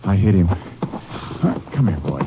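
An actor playing a terse, determined Texas ranger who also narrates in a 1940s radio drama. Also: male voice, sits low, animated, a little slow, gravelly, noisy background, exaggerated voice. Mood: urgent. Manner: terse, dogged. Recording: muffled old radio broadcast with music.

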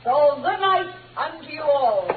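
Noisy tinny radio, mischievous stage sprite, very high, slow declamatory verse. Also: female voice, animated. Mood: playful, stagey. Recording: echoey distant stage, noisy old radio.

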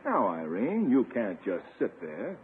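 Condescending athletic charmer, animated delivery, self-assured, old radio sitcom, faint hiss. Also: male voice, lowish voice, unhurried. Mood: flirtatious. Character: smug, athletic doctor, flirtatious show-off rival. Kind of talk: 1950s radio sitcom acting. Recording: tinny, faintly noisy 1950s radio recording.

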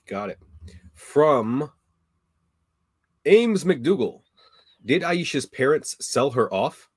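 A man hosts a livestreamed debate and reads viewer questions aloud, brisk and flat. His mid-pitched voice sits in a dry, close-sounding recording. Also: husky, no room sound. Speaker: debate moderator.

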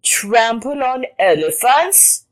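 A woman's voice: low-pitched, big pitch swings, slow, exaggerated voice. A woman voicing a big, bossy female elephant in an animal folktale, sneering and demanding. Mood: scornful.

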